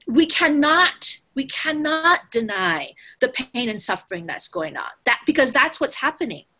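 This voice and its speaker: Bright-voiced, earnest woman in conversation. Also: high-pitched, very animated, measured pace. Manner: earnest, emphatic, casually sweary. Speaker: spiritual teacher.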